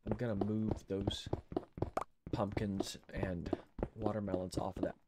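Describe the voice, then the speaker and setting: A man's voice: on the low side, level tone, unhurried, very noisy. An older man with a head cold, streaming a game from home on a headset mic and thinking aloud as he plays, often counting under his breath. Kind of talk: casual gameplay commentary. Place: home room.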